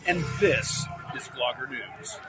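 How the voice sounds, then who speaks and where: A man's voice: medium pitch, slow. A man delivering a scripted show intro as the segment announcer. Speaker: segment announcer, content creator. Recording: loud music under voice. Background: loud music.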